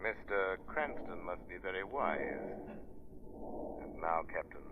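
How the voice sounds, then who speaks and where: An actor playing a mysterious, commanding crime fighter in an old radio drama, measured and sardonic. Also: male voice, deep voice, animated. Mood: calm, enigmatic. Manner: measured, pronouncing verdicts. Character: mysterious male vigilante, calm, commanding, sardonic. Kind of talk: 1930s radio drama acting. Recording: noisy dull vintage radio recording.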